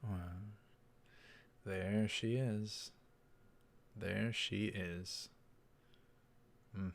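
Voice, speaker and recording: A man's voice: lowish voice, fairly even intonation, very slow, gravelly. A man doing a game playthrough, reading the story text aloud and adding casual asides. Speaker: let's play host. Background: game music.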